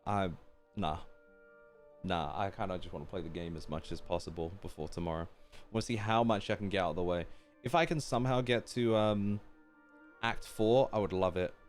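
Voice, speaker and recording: Low-voiced man streaming a game. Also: fairly quick, soft background music. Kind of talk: casual reaction commentary while gaming.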